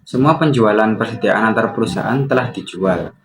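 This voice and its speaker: A man's voice: on the low side, a little fast. A young man, a student presenter, reading out a worked example. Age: young adult. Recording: clear background noise.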